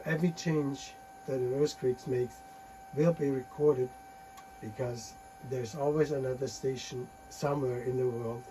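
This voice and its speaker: A man giving a technical conference talk from his slides, halting often with uh and restarting words. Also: mid-range voice, background noise. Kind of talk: technical presentation, reading from slides.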